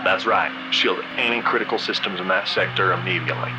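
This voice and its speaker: An actor playing a calm, authoritative fleet commander in a sci-fi audio drama, giving brisk orders and pointed questions. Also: male voice, deep voice, music in the background, natural voice, low-quality recording. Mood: commanding, urgent.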